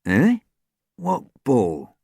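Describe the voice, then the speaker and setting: A man's voice: high-pitched, sing-song, normal speed, no room sound, studio quality, pitched above the performer's own voice. A man voicing an earnest, tentative, easily worried schoolboy in a children's story. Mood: bemused.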